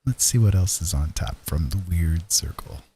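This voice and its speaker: A man's voice: low voice, animated, moderate pace, mellow, slightly rough. A man hosting a casual livestream, chatting in an easygoing, rambling way. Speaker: host, livestreaming painter. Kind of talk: casual chat.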